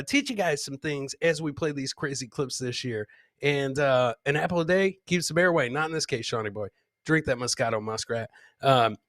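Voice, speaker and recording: A man's voice: on the high side, a bit bright, no echo, clean studio sound. A man hosting a comedy podcast, casually commenting on clips in a boisterous, slangy way with repeated catchphrases.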